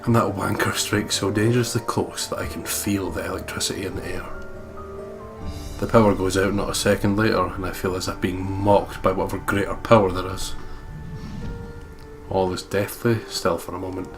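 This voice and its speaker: A man's voice: low voice, moderate pace. A man co-hosting a let's play, acting out script lines expressively and chatting casually between them. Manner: expressive, emotive line reading. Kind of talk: dramatic read-aloud of game script with casual chat. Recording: game music behind the voice. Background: video game music.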